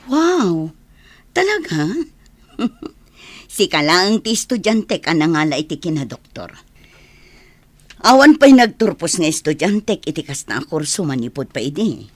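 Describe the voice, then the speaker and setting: Woman as encouraging university lady, lowish, animated, Ilocano accent, questioning, kindly, radio drama. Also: a little slow, pauses often, dry recording. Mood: kindly, impressed. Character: encouraging, impressed female university teacher or official.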